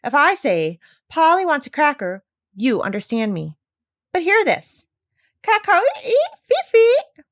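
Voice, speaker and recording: Storybook parrot, played by a woman: female, high-voiced, proud and instructive, lively pitch, dry recording. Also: takes it slowly, dry recording, full character voice. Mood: proud, insistent. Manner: emphatic, instructive. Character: proud, bossy female parrot, eager teacher.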